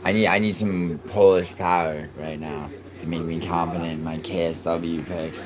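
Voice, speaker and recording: A man from New York City streaming live, chatting casually and cursing in excitable outbursts between mumbled fillers. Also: low voice, moderate pace, very noisy. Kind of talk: casual live stream chat, reacting to soccer and reading chat.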